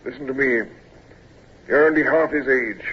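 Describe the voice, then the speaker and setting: An actor playing a stern, anxious older naval officer in an old radio drama, speaking formally and with command. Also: male voice, mid-range voice, normal speed. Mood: grave, urging. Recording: muffled, husky-sounding old radio recording.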